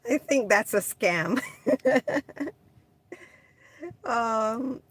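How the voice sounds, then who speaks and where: A woman's voice: on the high side, huge pitch swings, very slow, breathy edge, no room sound. A woman born in Jamaica, chatting casually on a livestream, answering viewer comments and laughing often. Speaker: livestream host. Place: home in California.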